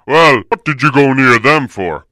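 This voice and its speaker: Huge gruff growling giant, booming slowly. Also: male voice, expressive, dry recording. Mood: curious, blunt. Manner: blunt, forceful, laughing to himself. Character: huge gruff bear man, wary and booming.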